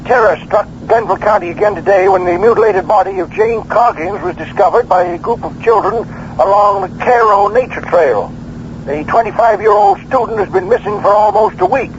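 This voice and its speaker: An actor playing a county sheriff giving a formal news bulletin in a radio drama. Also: male voice, high-pitched, a little fast, lightly acted. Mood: urgent, official. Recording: muffled radio bulletin, faint noise.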